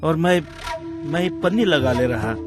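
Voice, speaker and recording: Casting: male actor as young village husband, easily swayed, unhurried delivery, lively intonation, music behind. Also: fairly high pitch. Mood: matter-of-fact. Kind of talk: Hindi animated moral story dialogue. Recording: music bed under the voice.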